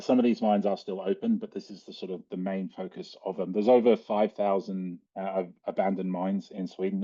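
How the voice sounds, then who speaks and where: A man with an Australian accent giving an informal slide presentation in a halting, hesitant way, with frequent ums. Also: deep voice, warm tone. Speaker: guest speaker, cave and mine diver. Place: online video call. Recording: dull, lo-fi online call audio.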